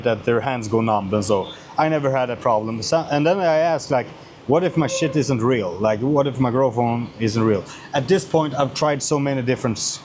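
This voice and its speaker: A man with a Swedish accent speaks in a medium-pitched voice, casually answering questions as a YouTuber. His delivery is blunt, peppered with swearing and repeated phrases for emphasis. Some ambient noise sits faintly behind his voice. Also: darkish tone. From Sweden.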